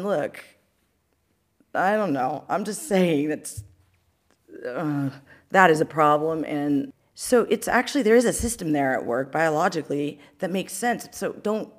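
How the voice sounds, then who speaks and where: A woman's voice: deep voice, animated, normal speed. A woman co-hosting a casual advice show, talking in a blunt, emphatic, exclamatory way with strong opinions.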